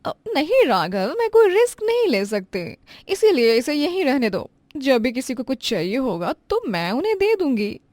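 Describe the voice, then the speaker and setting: Female narrator as rich, miserly young daughter-in-law; high, animated, fake-sweet with a sharp, snobbish edge, dry studio sound. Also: moderate pace, few pauses, no echo. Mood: haughty, dismissive. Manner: affected sweetness turning sharp and condescending.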